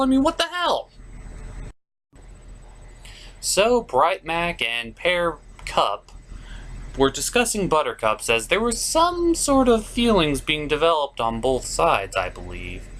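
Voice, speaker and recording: Theatrical man with swooping, animated pitch. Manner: theatrical, exclamatory. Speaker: cartoon reviewer and host. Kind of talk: scripted comedic review narration.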